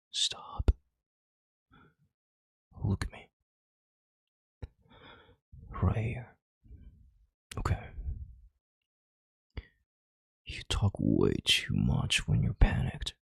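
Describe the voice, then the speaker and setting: Commanding, calculating male CEO played by a man for intimate audio roleplay: rock-bottom pitch, very husky, measured and authoritative. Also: dry recording, heavily acted. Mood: hushed, commanding. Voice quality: very deep, gravelly, husky voice. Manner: authoritative, measured, halting, sighing and hushing.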